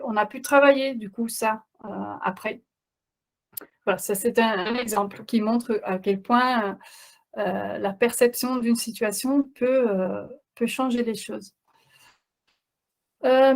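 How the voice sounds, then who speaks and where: A woman who is not a native French speaker, a parenting coach giving a webinar presentation, talking hesitantly with many fillers and restarts. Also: fairly high pitch.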